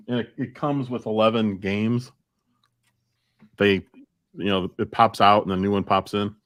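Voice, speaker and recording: A man's voice: fairly low pitch, fairly even intonation, measured pace, a bit bright, no echo. A man hosting a casual live-stream chat, talking informally. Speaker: podcast host.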